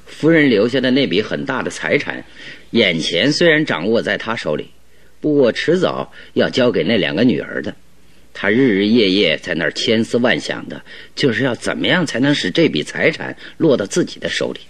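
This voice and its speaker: A man's voice: lowish voice, big pitch swings, normal speed, a bit bright, heavily acted. A man acting a shrewd, self-assured detective in a radio drama, reasoning aloud in an expansive, conversational way. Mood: reasoning, animated.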